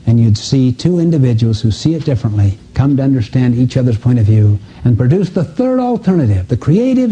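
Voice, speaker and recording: Dark, husky-voiced man giving a seminar. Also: medium pitch. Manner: earnest, emphatic, storytelling delivery. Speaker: seminar speaker. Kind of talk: motivational seminar lecture with anecdotes. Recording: dull muffled lo-fi audio.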